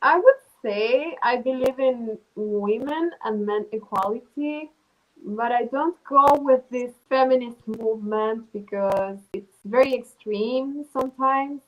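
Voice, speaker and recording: A woman in her twenties from Chile, answering interview questions in a relaxed, chatty way with lots of fillers. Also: fairly high pitch, low-quality recording. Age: 20s. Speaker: guest.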